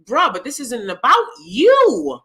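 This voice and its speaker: A woman with a loud, clear voice giving her opinions in a casual vlog, blunt and emphatic as she speaks straight to viewers. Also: sits high, huge pitch swings, slow. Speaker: YouTube commentator.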